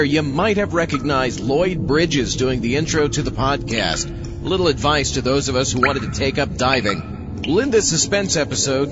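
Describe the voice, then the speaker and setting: A man's voice: a little high, lightly acted. A man playing a mock-heroic astronaut host giving a scripted comic podcast introduction. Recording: dull lo-fi, music underneath. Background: music.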